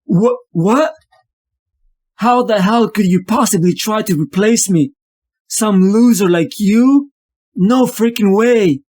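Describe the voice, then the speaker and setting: A tormented vigilante played by a man narrates in a high-set male voice. He is sick and losing his grip, confessing it all in a brooding, dramatic comic-book monologue. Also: slow pace, darkish tone. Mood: enraged.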